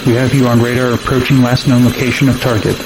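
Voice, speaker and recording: An actor playing a stern military air traffic controller in an audio drama, with a clipped, commanding manner. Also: male voice, medium pitch, little pitch movement, fast talker, close to an everyday voice. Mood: firm, controlled. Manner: clipped, commanding radio procedure. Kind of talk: modern audio drama acting. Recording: radio chatter with clear background noise.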